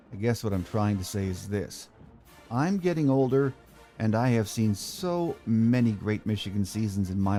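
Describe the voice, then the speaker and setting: Low, mellow middle-aged male podcast host. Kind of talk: scripted sports monologue.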